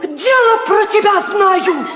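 A woman's voice: extremely high pitch, expressive, slow pace, heavily acted. Piercing, spiteful young peasant woman. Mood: taunting. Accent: Russian. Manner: taunting, coarse rustic speech. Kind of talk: 1950s Soviet radio play acting. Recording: echoey 1950s radio recording with music.

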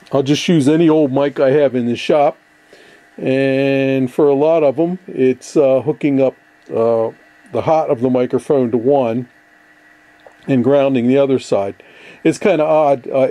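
An older man with a relaxed, mellow voice at a middle pitch. He talks casually as a hobbyist showing off his workshop, easygoing and rambling, often hesitating as he goes. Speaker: ham radio hobbyist, video host. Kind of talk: casual workshop show-and-tell. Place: cluttered indoor basement workshop.

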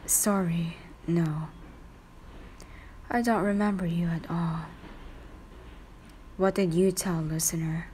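A woman voicing a cool, protective teenage boy in a romantic roleplay, murmuring low and breathy with a curt manner. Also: steady tone, slow pace, pauses often, warm tone, slight background noise. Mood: cold, scornful. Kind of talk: romantic fan-fiction roleplay ASMR.

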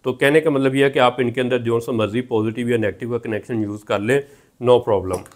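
A man's voice: mid-range voice, steady tone, a little fast, darkish tone. A man in his thirties with a Pakistani accent, teaching methodically as a technical instructor and repeating each step. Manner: repetitive, methodical explaining. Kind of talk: instructional tutorial, explaining step by step. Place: indoor room at a whiteboard.

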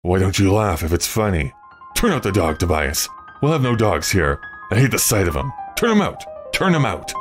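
Guy doing a nasty murderous barber in an old penny dreadful story, barky n falsely polite, swoopy pitch, music under it. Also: animated, moderate pace. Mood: angry. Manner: barking, threatening, oily politeness to customers. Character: menacing murderous barber, false jocularity, gruff temper. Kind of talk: Victorian melodrama storybook character reading.

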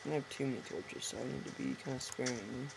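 A hoarse teenage boy chatting casually to viewers over gameplay, rambling and correcting himself as he goes. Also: mid-range voice, steady tone, fast. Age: teens. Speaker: gameplay commentator. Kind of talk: casual let's-play commentary. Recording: noisy home recording with typing.

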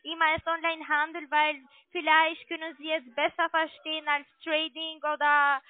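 A woman with a foreign accent, not a native speaker, making a halting, insistent sales call over the phone. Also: sits high, monotone, dry recording. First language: not German. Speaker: call centre caller selling investments. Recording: tinny phone line.

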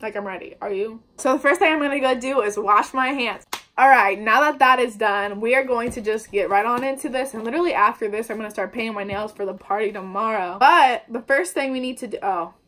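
Young woman, fairly high voice, chatty and slangy, upbeat, home vlog, camera mic. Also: a little fast, a bit bright, a little hiss or hum. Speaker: vlogger. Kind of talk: casual baking vlog. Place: indoors at home, kitchen table before a wallpapered wall.

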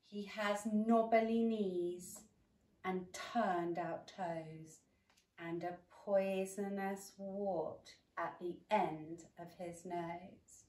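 A woman's voice: lowish voice, steady tone, extremely slow, a little mellow, distant mic. A woman voicing a small, clever, polite mouse in a read-aloud storybook. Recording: echoey room, away from mic.

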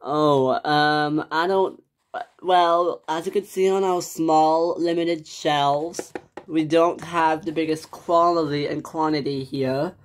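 A performer as an unsure, slow-talking male shop clerk. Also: medium pitch, fairly even intonation, natural voice. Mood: hesitant, puzzled. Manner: unsure, halting, polite. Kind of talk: acted pretend-play story.

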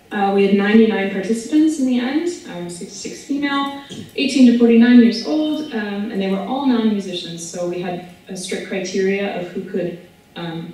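A woman's voice: medium pitch, darkish tone. A woman gives an informal, chatty conference presentation as a researcher, heard over a video call played into a room. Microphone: video call heard through room speakers from afar. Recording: echoey room, distant mic, replayed video-call audio.

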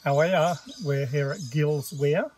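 A man in his fifties with an Australian accent, chatting casually to camera at a forest campsite, relaxed and full of fillers. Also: a little high, unhurried, slight background noise. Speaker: travel vlogger. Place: outdoors in a pine forest campsite. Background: birdsong and bush ambience.

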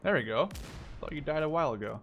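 A man's voice: fairly high pitch, lively intonation, fast, vocal fry, highs cut off, a little hiss or hum. A man in his twenties giving casual, reactive commentary while playing an online shooter with a friend. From Netherlands. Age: 20s. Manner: upbeat intro, then chatty and reactive while playing. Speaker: gaming YouTuber.

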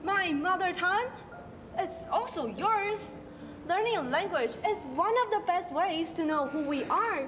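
A teenage girl plays a gentle, proud mother with a Taiwanese accent. She talks slowly, with a high, lively voice. The sound echoes in a large hall with music playing behind. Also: a little hiss or hum, exaggerated voice. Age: teens. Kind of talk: school stage play. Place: large school auditorium stage.

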